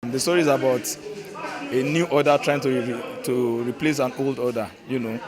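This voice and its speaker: A man's voice: mid-range voice, steady tone, moderate pace, heavy background noise. A man with a Nigerian accent explaining something conversationally in an interview. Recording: crowd chatter at a venue.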